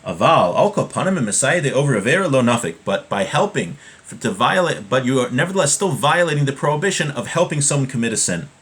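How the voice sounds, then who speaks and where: A man's voice: mid-range voice, very animated, fast talker, a bit bright. Conversational man teaching religious law. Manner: conversational, explanatory, repeating key points. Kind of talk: informal religious lesson, reading Hebrew text and explaining it in English. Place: small room at home, indoors.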